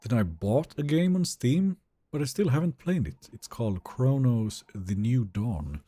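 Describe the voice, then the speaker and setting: Swedish male streamer, easygoing and chatty, animated pitch, mellow tone. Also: a little slow, slightly rough. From Sweden. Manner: relaxed, chatty, answers chat questions. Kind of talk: live gameplay stream, chatting with viewers.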